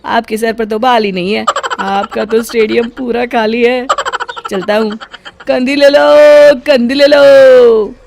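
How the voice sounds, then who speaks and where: A woman voicing a loud, brash male street peddler, blunt and calling out his wares in a story. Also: on the high side, flat delivery, gravelly, background noise, voice pushed up. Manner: drawn-out hawking calls, blunt.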